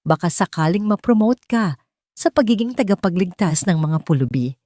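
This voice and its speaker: A woman's voice: lowish voice, lively intonation, moderate pace, mellow, no room sound, studio quality. A woman voicing an older, sycophantic female nurse who mocks a coworker with sarcasm. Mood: mocking. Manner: sarcastic, mocking.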